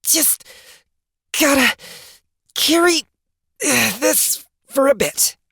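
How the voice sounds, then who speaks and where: Man voicing a frustrated young gamer woman, pitched up. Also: lively intonation, extremely slow, creaky, pushed and bright, no room sound, studio quality, heavily acted. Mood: straining. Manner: grunting, exasperated, self-talking. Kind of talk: fantasy audiobook character acting.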